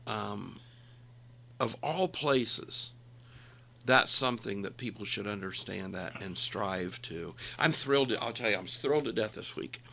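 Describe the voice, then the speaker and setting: Man in his sixties, gravelly, bright tone, folksy, emphatic, casual chat. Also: fairly low pitch, expressive, unhurried, a little hiss or hum. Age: 60s. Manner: folksy, self-deprecating, emphatic.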